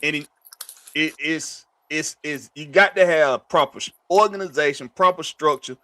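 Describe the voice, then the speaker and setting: A man talking sports in a casual chat, halting and searching for his words. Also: a little high, pushed and bright, no room sound.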